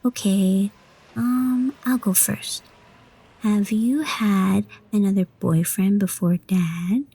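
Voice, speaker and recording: A woman's voice: medium pitch, fairly even intonation, slow, vocal fry, warm tone, breathy edge, heavily acted, rough recording. A woman voicing an eager, curious young schoolgirl in an audio drama. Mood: curious, thoughtful. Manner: eager, childlike. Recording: music under the voice. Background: music.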